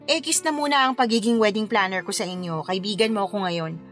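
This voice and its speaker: A woman's voice: medium pitch, fairly quick, a bit bright, faint music behind, natural voice. An actress playing a sassy, playful career woman in a Filipino radio drama, teasing and exclamatory as a concerned confidante. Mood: reassuring, playful.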